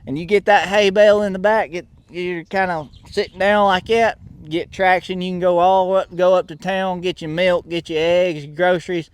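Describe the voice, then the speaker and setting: A man's voice: high voice, steady tone, normal speed, few pauses, smooth, natural voice. A man playing a boastful, folksy country cowboy in a comedy skit, with a drawling American Southern accent. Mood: boastful, relaxed. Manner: drawling, folksy, bragging, calls listener son.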